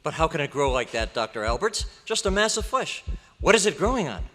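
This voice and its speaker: An urgent, incredulous junior scientist, acted by a man in old radio horror style. The voice is high and animated, ringing in a hall. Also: a little fast. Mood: alarmed. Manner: excited, incredulous questioning. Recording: live hotel meeting room, long echo.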